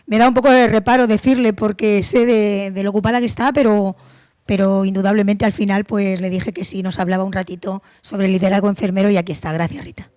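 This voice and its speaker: A woman with a Canarian Spanish accent moderating a conference, introducing the next speaker in a hurried, informal way. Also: mid-range voice, steady tone, a little mellow. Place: conference hall.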